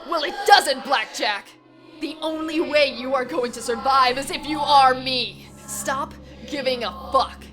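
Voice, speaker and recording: A woman's voice: high-pitched, voice pushed up. A woman voicing an evil mirror-self cyborg pony mare in a story reading, mocking and savage, ranting with profanity. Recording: music bed behind the voice.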